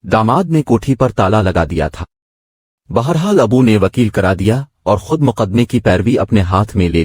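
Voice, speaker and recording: A man's voice: fairly low pitch, a little mellow, breathy edge, dry recording. A man reading a scripted moral story as its narrator.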